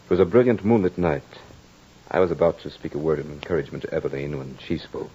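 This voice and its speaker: An actor playing a tormented, devoted husband in an old-time radio horror drama, with melodramatic, theatrical delivery. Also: male voice, extremely low pitch, quick pace, mellow, husky, exaggerated voice. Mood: haunted, dramatic. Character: tormented, devoted husband haunted by a vengeful phantom. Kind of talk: old-time radio horror acting, first-person narration. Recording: dull vintage radio recording with music.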